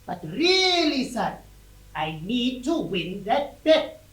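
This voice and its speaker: An actor playing a jovial, nosy old country farmer with folksy, teasing speech in a theatrical style. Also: male voice, very high voice, very animated, slow, a bit bright, exaggerated voice. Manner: folksy dialect, chuckling. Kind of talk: theatrical stage-style acting. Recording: roomy, distant microphone.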